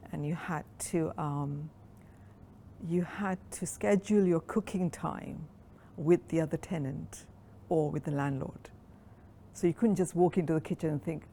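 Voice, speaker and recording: A woman in her sixties from Kenya, recalling her life at a relaxed pace in an oral history interview, with frequent pauses and ums. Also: deep voice, vocal fry, slight background noise. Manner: reflective, unhurried storytelling with frequent ums.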